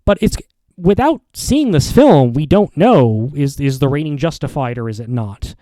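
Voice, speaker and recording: Mellow, halting man talking off the cuff. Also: a little high, animated, no room sound. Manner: halting, rambling delivery with frequent repeats and self-corrections. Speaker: podcast host. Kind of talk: casual opinion commentary monologue.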